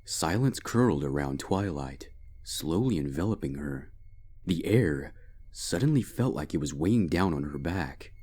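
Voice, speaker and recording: A man giving a dramatic scripted narration of a dark fantasy story. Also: extremely low pitch, expressive, a little slow, husky. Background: background music.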